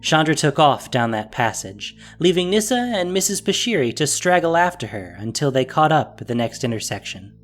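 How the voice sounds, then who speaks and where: Storyteller narrating an audio drama, lively rise and fall in pitch, music underneath. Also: moderate pace, few pauses. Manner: expressive, descriptive storytelling.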